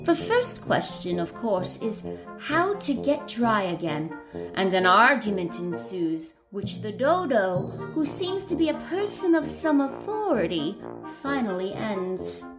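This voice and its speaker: A woman's voice: medium pitch, sing-song, unhurried, music in the background, low-quality recording. A woman giving scripted storybook narration as a narrator in a radio play.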